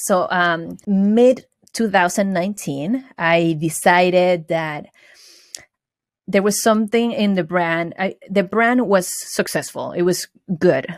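A woman from Venezuela speaks with a Venezuelan accent and a low, deep voice. She talks earnestly about running her small business and often restarts her words mid-thought. The sound is dry and close, with no room echo. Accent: Venezuelan Spanish. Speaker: guest, small business owner. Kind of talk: casual interview chat.

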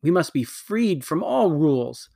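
A man voicing a proud, ruthless queen with a slow, stately, commanding delivery. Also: fairly high pitch, sing-song, gravelly, warm tone, no room sound, studio quality, pitched above the performer's own voice, exaggerated voice. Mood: imperious.

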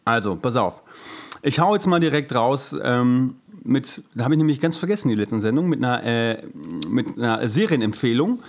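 A man speaks as a podcast co-host in an easy, colloquial style. His pitch is average for a man, and he mixes English slang into his casual chat. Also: animated, normal speed.

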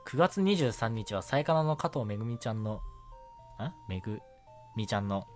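Animated man chatting on a radio show. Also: fairly low pitch, moderate pace, soft background music. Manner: chatty, rambling, self-interrupting with chuckles. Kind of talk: casual solo radio chat about anime.

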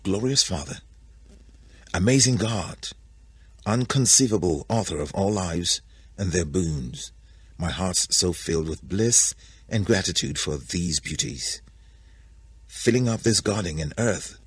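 A man's voice: low voice, animated, a little slow, breathy edge, no room sound, full character voice. A man voicing a grateful, innocent first man in a storybook, prayerful and full of wonder. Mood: reverent, grateful. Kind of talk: dramatic storybook character voice.

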